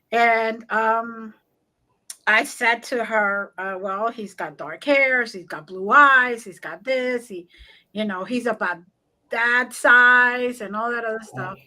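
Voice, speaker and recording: A woman's voice: fairly high pitch, poor audio. A woman in her sixties from New Jersey with a slight Cuban-tinged accent, telling stories as a guest in a casual conversation and acting out the voices she quotes. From Newark, New Jersey. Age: 60s. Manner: animated, acts out quoted voices. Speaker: guest spiritual practitioner.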